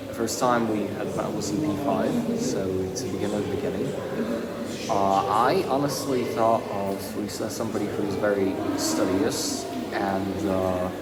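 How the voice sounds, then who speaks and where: A young man from Russia speaks as an interview guest, very slowly and haltingly. He picks formal, wordy phrases with care. The room rings around him and noise sits heavily behind his voice. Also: lowish voice. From Russia (Eastern Siberia, Moscow), then Luxembourg from age ten. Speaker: podcast guest. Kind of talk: interview answers. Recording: echoey room, loud background noise.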